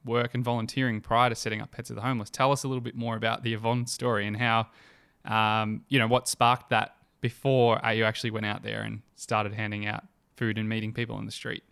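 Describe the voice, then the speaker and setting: A man's voice: lowish voice, flat delivery, a little fast, gravelly, fairly bright tone, clear, resonant tone. A man hosting a conversational interview, speaking reflectively and affirmingly with hesitant, searching phrasing. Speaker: interviewer, host.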